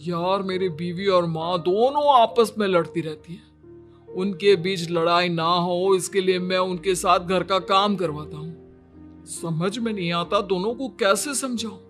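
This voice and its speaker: A man's voice: high-pitched, measured pace. Man acting young husband, exasperated, weary, complaining, cartoon story dialogue, music behind. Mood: troubled. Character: exasperated, put-upon young Indian husband and son.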